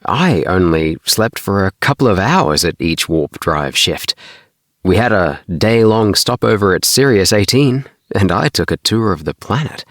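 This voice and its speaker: An eager young man travelling through space, voiced by a male audiobook reader. He is friendly and talkative, pitched up from the reader's deep register, in a dry, close recording. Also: expressive, a little slow, a bit bright, dry recording. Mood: cheery, chatty. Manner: chirpy, friendly.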